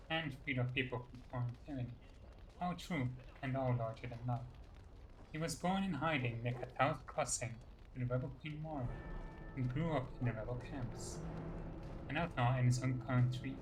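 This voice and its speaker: Man reading game lore, mid-pitched. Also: slight background noise, rough recording. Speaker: game player narrating dialogue and lore entries. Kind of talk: scripted narration. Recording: faint game music behind the voice.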